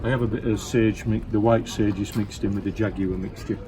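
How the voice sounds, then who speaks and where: A man's voice: fairly low pitch, steady tone, fairly quick, mellow. A man at an outdoor festival stall casually explaining his goods to a customer. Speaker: stall vendor.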